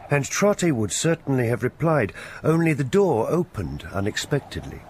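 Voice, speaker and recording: An actor as the wry, genial narrator of a literary radio drama. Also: male voice, medium pitch, very animated, moderate pace, background noise, natural voice.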